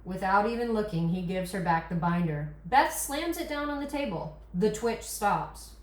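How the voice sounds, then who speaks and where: A woman's voice: medium pitch, expressive, moderate pace. A woman narrator reading screenplay scene headings and action lines at a table read. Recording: echoey room recording.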